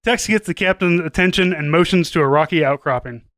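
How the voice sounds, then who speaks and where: Bright, high-pitched male narrator. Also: a little fast, no echo. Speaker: podcast host and narrator. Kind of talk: scripted comedic narration.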